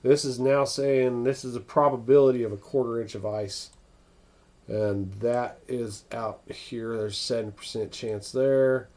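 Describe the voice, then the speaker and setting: An American man hosting a casual, unscripted weather livestream, thinking aloud in a halting way as he answers viewers. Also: mid-range voice, steady tone, darkish tone. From northern United States.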